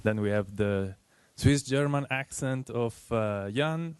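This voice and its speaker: A man with an Italian accent presenting at a tech meetup, speaking earnestly and haltingly with many fillers. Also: medium pitch, clean tone, dry recording.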